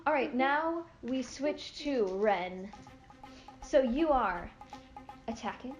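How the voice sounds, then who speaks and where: A woman's voice: high-pitched, expressive, slow. A young adult woman narrating as game master, hesitant and full of fillers. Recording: roomy lo-fi room mic with faint music.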